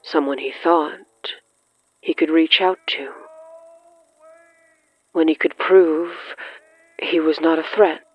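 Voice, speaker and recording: Slow measured horror podcast narrator, dramatic pauses, kinda muffled radio sound. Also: medium pitch, fairly even intonation, no room sound. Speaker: narrator of an audio fiction podcast. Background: faint music.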